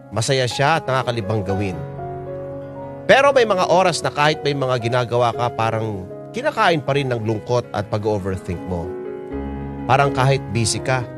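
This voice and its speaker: A man with a Filipino accent reads as an actor, and tells a personal story in measured, wistful drama narration. Music plays clearly behind the reflective voice. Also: medium pitch, lightly acted. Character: reflective small business owner telling a life story.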